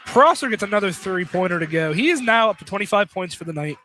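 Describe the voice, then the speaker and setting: A man calls a live basketball game for radio. His voice sits a little high, and he delivers the action in short, clipped phrases over slight background noise. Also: lively intonation, fast talker, sharp tone. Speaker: play-by-play commentator.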